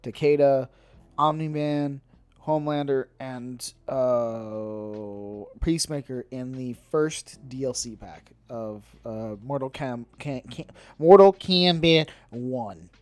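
Halting man co-hosting a casual podcast. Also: mid-range voice, dry recording. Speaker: podcast co-host. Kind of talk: casual nerd-news chat.

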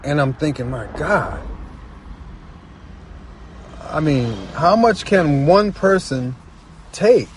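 A man talking casually and reflectively as a guest storyteller, earnest and halting, often repeating phrases for emphasis. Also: a little high, slight background noise. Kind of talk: casual, reflective personal talk.

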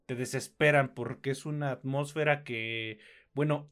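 A man with a Mexican accent chatting casually as he reviews films on a podcast, talking discursively with explanatory asides. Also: medium pitch. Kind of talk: casual film review chat.

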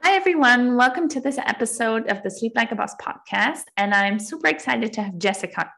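A woman's voice: a little high, lively intonation, fairly quick, creaky, pushed and bright. A woman hosting a podcast interview, upbeat and asking friendly questions. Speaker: podcast host.

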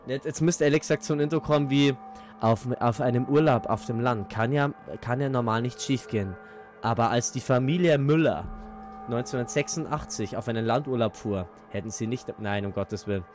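A man's voice: medium pitch, fairly quick. A man hosting a podcast in a casual, playful, jokey way, with swearing and asides. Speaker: podcast host. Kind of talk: casual chat with playful intro reading. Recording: loud music behind the voice. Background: eerie ambient music.

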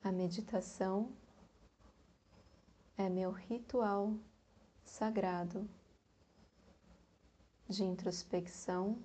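Brazilian lady doing guided meditation, super slow and calm, dark mellow breathy voice. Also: mid-range voice. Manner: slow, soft, calm, with long pauses.

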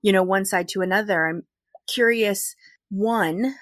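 Woman, podcast host, conversational, mid-pitched, dry close sound. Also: unhurried, dry recording. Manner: conversational, thoughtful questioning. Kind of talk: interview podcast.

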